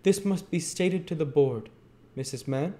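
A man voicing a pompous, angry parish beadle in a storybook, speaking with loud, imperious self-importance. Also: fairly high pitch, animated, measured pace, pitched above the performer's own voice.

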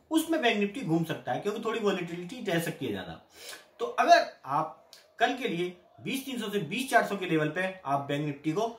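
A middle-aged Indian man hosting a daily stock market update, briskly reading out price levels and tips. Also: high voice. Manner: brisk, reads out price levels in a lively sing-song. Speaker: stock market analyst host. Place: small indoor room with patterned curtain. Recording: echoey room.

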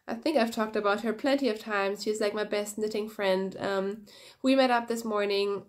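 A young woman with a fairly high voice talks quickly and eagerly to her viewers, a little sniffly from a head cold. She hosts a knitting podcast, and the sound comes from a phone at arm's length in a small room, with no proper microphone. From Germany. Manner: quick, energetic, chatty. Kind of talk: casual chat to camera. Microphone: phone camera at arm's length, no external mic.

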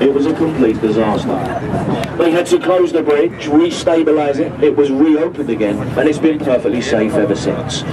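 A man on a river boat crew giving chatty, jokey tour commentary over a handheld microphone. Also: a little high. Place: open deck of a river boat, outdoors. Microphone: handheld microphone over a boat speaker, filmed from a distance. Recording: distant PA voice with boat noise.

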